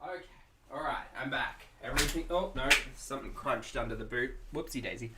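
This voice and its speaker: A man's voice: medium pitch, fairly quick, heavy background noise. An Australian man hosting a casual livestream, chatting to viewers in a playful, talkative way with cutesy slang. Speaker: livestream host and reactor.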